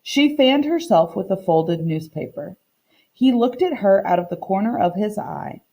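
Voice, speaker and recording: A woman teacher reading a storybook aloud to children in an expressive, deliberate way, pausing to teach. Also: mid-range voice, creaky. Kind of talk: read-aloud lesson for children, storybook narration.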